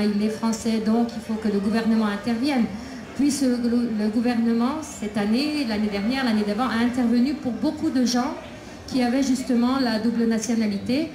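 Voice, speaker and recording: A woman speaking at a festival debate about her imprisoned son, talking haltingly with many pauses and restarts. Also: a little high, warm tone. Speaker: prisoner's mother, invited speaker. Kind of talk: public talk at a debate. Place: outdoor festival debate tent. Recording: echoey PA with crowd ambience.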